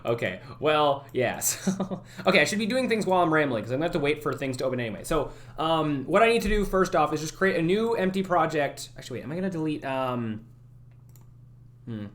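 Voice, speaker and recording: A young man streaming his game development, chatting casually and thinking out loud as he works, often correcting himself. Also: on the high side, big pitch swings, fairly quick. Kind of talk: casual live-stream chat while working.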